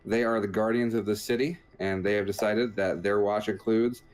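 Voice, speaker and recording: A man's voice: fairly low pitch, fairly even intonation, moderate pace, a little mellow. A man casually commentating over gameplay footage, explaining things in a joking, meandering way. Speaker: podcast host reviewing a video game.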